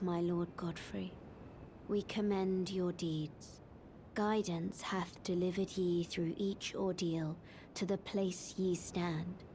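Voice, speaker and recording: Slow, hushed, solemn maiden guide. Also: female voice. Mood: reverent. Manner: measured, solemn, restrained. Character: solemn young maiden guide, quiet, mysterious, resolute. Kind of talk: hushed, formal fantasy game acting. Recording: loud rumbling background noise.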